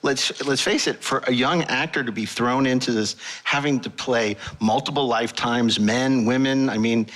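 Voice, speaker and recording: Bright-voiced man hosting a casual chat. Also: medium pitch, moderate pace, few pauses, poor audio. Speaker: podcast host interviewing guests. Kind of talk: casual panel conversation.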